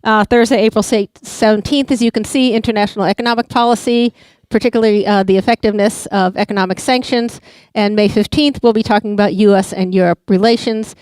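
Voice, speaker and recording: A woman's voice: mid-range voice, fairly even intonation, fast talker, a little mellow, smooth, no room sound, poor audio. A woman hosting an event, reading announcements and introducing the speaker, hesitant with frequent fillers. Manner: hesitant, with many uhs. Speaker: event host.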